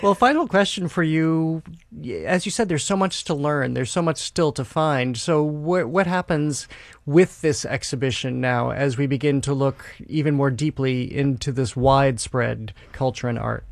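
A man's voice: medium pitch, moderate pace, vocal fry, no room sound. A man hosting a radio interview, fluent and friendly in a conversational way. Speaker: radio host.

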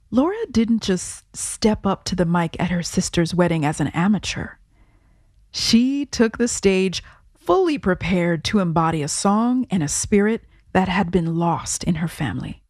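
A woman host giving measured, scripted documentary narration. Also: mid-range voice, animated, a little mellow, dry recording, clean studio sound.

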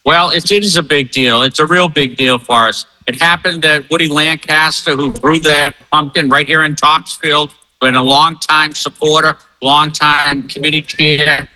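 A man's voice: a little high, steady tone, normal speed, hardly any pauses, bright, forceful tone, low-quality recording. A man speaking as an event organizer in an interview, upbeat and emphatic, enthusiastically promoting it. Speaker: fair organizer and spokesman.

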